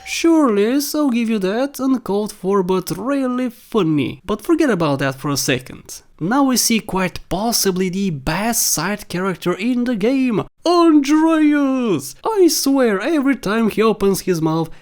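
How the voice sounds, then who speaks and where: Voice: mid-range voice, very animated, unhurried, few pauses, a little mellow. Wry jokey host narrating a top ten of game clips, scripted commentary. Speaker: video host.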